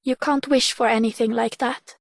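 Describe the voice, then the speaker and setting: An actress playing a stern, watchful huntress who speaks bluntly in a game monologue. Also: female voice, a little high, steady tone, moderate pace, creaky, no room sound, studio quality. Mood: blunt, approving. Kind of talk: scripted game character monologue.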